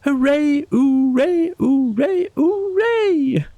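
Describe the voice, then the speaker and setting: A man voicing a practical, kindly and excitable storybook rat, brisk and decisive with a colloquial way of talking. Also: extremely high pitch, dry recording, voice pushed up, exaggerated voice. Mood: overjoyed. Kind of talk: storybook character voice.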